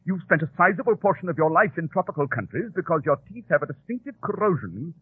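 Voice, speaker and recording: A shrewd, exacting detective in an old radio drama. The voice is fairly high and very husky, with precise, dry delivery. The broadcast sound is thin and narrow. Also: male voice, very animated, fast talker, no echo, full character voice. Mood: coolly analytical. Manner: precise, articulate, dryly condescending. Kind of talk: 1940s radio acting. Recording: tinny, band-limited old radio broadcast.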